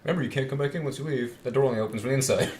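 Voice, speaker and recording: A man's voice: mid-range voice, very fast, darkish tone. A man co-hosting a let's play, making wry, joking casual commentary. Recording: clearly noisy recording.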